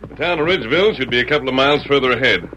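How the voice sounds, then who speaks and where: An actor playing a calm, commanding masked cowboy hero in a vintage radio western, with crisp, authoritative phrasing. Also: male voice, medium pitch, very fast, highs cut off, lightly acted. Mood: intent.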